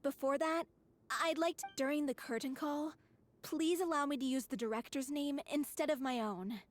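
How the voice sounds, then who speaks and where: A woman voicing a gentle, resolute young female performer in a video game, speaking sincerely and politely. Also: high voice, moderate pace, a little hiss or hum, natural voice. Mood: sincere, earnest.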